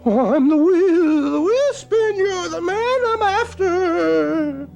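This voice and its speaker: A man voicing a tricky, boastful male rabbit in a storybook tale, with a high, sing-song squealing voice. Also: lively intonation, slow pace, gravelly, darkish tone, heavily acted. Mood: spooky boasting. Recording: guitar playing behind.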